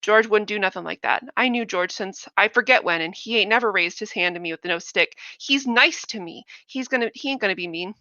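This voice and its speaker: A woman's voice: medium pitch, steady tone, fairly quick, vocal fry, bright, forceful tone, dry recording. A woman voicing a simple-minded, childlike grown man who pleads anxiously in a storybook reading. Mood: frantic. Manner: pleading, childlike, rising to frantic. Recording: dull lo-fi dry recording.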